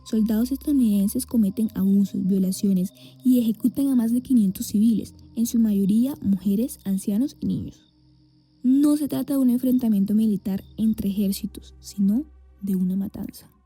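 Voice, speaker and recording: Woman playing a dark-voiced, husky, solemn news reporter. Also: medium pitch, normal speed, faint music behind. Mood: grave. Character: grave woman reporter on old news tapes. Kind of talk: scripted documentary news narration.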